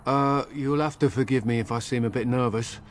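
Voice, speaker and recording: A man's voice: medium pitch, fairly even intonation, fairly quick, slight background noise, close to an everyday voice. An actor playing a hapless, nervous travelling merchant in a fantasy game, rambling and questioning himself as he talks. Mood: nervous, exasperated. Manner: flustered, rambling, self-questioning. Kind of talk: video game dialogue acting.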